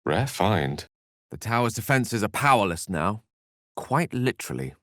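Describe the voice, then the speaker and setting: A witty, dramatic man of magic in a fantasy video game. His pitch swings expressively as he turns florid, articulate phrases with wry self-assurance. The voice sits a little low and is captured dry, in theatrical character style. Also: very animated, measured pace, fairly bright tone, no echo. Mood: grave, thoughtful. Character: eloquent, witty, self-assured human wizard, bookish and dramatic.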